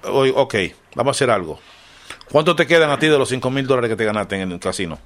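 A man's voice: mid-range voice, animated, fast talker, lightly acted. A man with a Caribbean Spanish accent playing a stern, accusing, streetwise casino official on a prank phone call, insistently interrupting and repeating himself. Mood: pushy, probing. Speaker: radio show prank caller.

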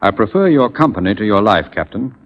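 An actor playing a cunning Confederate officer in 1940s radio style, formal and smoothly menacing. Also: male voice, fairly low pitch, lively intonation, fairly quick, natural voice. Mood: coolly menacing. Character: cool, courteous, cunning Confederate spy officer. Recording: muffled, noisy 1940s radio recording with clicks.

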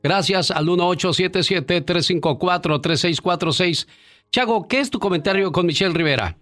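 A man hosting a radio call-in show, brisk and defensive with callers. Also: high voice, fairly bright tone.

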